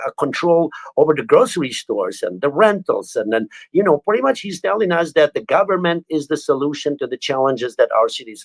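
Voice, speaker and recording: A man's voice: fairly high pitch, low-quality recording. Albanian-accented man, earnest interview guest. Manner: earnest, restarts words. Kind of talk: interview answers.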